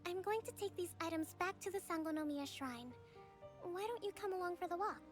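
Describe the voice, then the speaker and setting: An actress voicing a young female island leader, calm, measured and polite in a game. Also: extremely high pitch, faint music behind. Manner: measured, polite, soft-spoken. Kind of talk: game voice acting.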